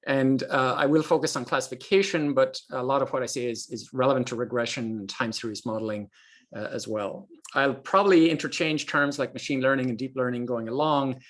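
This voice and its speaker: An Irish man in his sixties giving an academic overview lecture, measured and often pausing with "uh" between phrases. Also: medium pitch, steady tone. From Ireland. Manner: measured, frequent hesitations.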